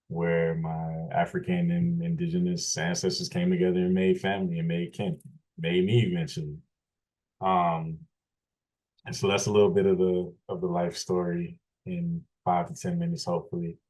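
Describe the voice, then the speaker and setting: A man from Louisiana, an interview guest, telling his family history in a measured, explanatory way with frequent restarts. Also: deep voice, darkish tone, poor audio. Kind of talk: thoughtful conversational storytelling.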